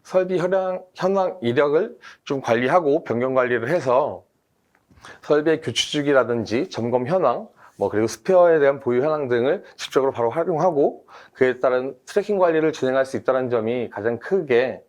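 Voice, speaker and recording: A man's voice: mid-range voice, expressive, fairly quick, a little mellow. A man, a team leader, explaining things methodically in a webinar discussion, with frequent fillers and restarts. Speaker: team leader, industry expert guest.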